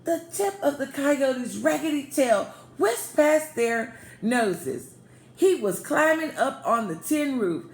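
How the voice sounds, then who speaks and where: A woman reading a picture book aloud as a storyteller, expressive and prompting young listeners. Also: high-pitched, slow. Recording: echoey room with faint background noise.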